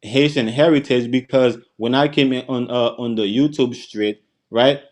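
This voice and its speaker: Virgin Islands man explaining himself haltingly. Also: medium pitch, fairly even intonation, darkish tone. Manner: repetitive, defensive, halting with restarts. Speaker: panel guest. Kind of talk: casual live-stream chat.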